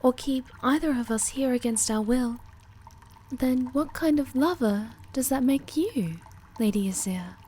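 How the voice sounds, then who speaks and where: A woman's voice: high voice, animated, a little slow, dark tone, slight background noise. A woman playing a timid but loyal moth girl in an ASMR roleplay, earnest and hesitant, with a pleading, stammering delivery.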